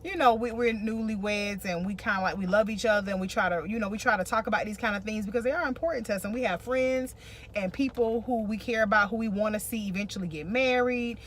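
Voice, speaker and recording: A woman's voice: mid-range voice, fast talker, a little hiss or hum. An American woman co-hosting a relationship vlog, giving casual advice and repeating phrases for emphasis.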